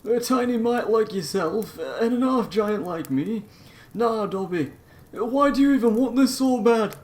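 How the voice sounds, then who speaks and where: A man voicing a big, gruff half-giant man who sounds flustered and hesitant. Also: sits high, a little slow, pitched above the performer's own voice. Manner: loud, lively, hesitant and flustered.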